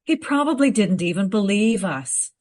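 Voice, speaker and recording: Radio play researcher, female actor: knowledgeable, frank, brisk, very expressive pitch swings. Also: natural voice. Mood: defensive. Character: well-informed, matter-of-fact female history researcher.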